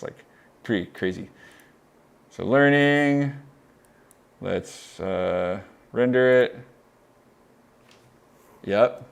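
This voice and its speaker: A young man with a Californian accent, casually demonstrating audio software on a live stream, rambling excitedly with lots of "like" and laughs. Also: deep voice, vocal fry. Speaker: audio mentor.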